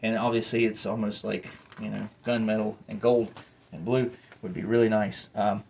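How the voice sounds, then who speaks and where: A man's voice: on the low side, steady tone, normal speed, gravelly, darkish tone. A man giving a casual, unscripted collector's review, thinking aloud and wandering off into asides. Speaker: collector reviewing a wrestling belt.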